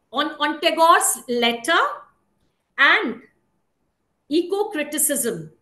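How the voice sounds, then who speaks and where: Middle-aged Indian woman, event host. Bright, high voice, slow and halting formal read. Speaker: session host introducing speakers. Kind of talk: formal introduction read from notes. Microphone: video call. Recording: roomy video-call sound.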